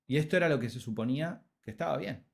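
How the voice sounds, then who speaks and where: A man's voice: mid-range voice, expressive, creaky, breathy edge. Argentine man narrating his own story. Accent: Argentine Spanish. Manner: reflective storytelling with dramatic pauses. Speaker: podcast host. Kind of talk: scripted personal narration.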